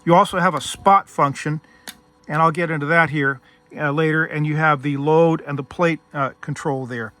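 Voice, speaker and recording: A man presents a casual hands-on demonstration as the host. His voice sits a little high and has a very bright, crisp edge. He explains each step methodically, in an informal way. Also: steady tone, normal speed. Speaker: host demonstrating vintage radio gear.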